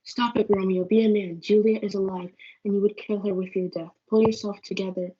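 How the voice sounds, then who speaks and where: A woman's voice: medium pitch, level tone, brisk, warm tone, slightly rough, close to an everyday voice. A woman playing a steadying friar who gives firm counsel in a student readers theatre. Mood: firm, calming. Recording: muffled lo-fi home recording.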